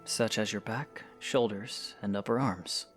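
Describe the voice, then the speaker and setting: A man playing a patient young swordsman hero in an audio drama, coaching in a gravelly, encouraging way. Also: on the low side, a little slow, soft background music, lightly acted. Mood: patient, instructive. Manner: patient, instructive, reassuring. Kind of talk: scripted audio drama acting.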